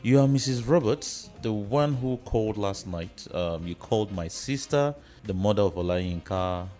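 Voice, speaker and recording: A blustering middle-aged Nigerian uncle, played by a male actor in a radio drama. He is emphatic and repeats himself, his pitch swinging widely over faint music. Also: animated, moderate pace, mellow, lightly acted. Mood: hesitant. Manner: agitated, repetitive, emphatic. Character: blustering uncle fearful of family shame.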